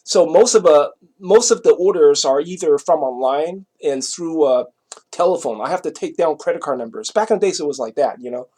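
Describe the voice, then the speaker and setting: A man's voice: a little high, animated, dark tone. Middle-aged man with a Taiwanese accent. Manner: repetitive, halting, often checking with the listener. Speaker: vlogger telling a personal story. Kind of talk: casual rambling storytelling.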